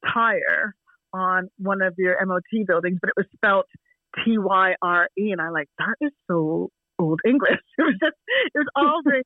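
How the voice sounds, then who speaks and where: A woman's voice: medium pitch, no echo. A Canadian woman in her forties, a chatty guest who tells stories and laughs often. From Canada, Toronto. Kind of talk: casual chat over a call. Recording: dry tinny phone line.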